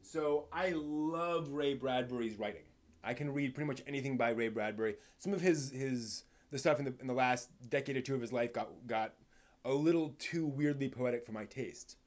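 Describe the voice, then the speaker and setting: A man hosts a casual live stream in a mid-range voice. He speaks off the cuff to his viewers, feeling his way through thoughts. His sentences often stall and get reworded along the way. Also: mid-range voice. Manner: halting, thinking aloud, rephrasing mid-sentence.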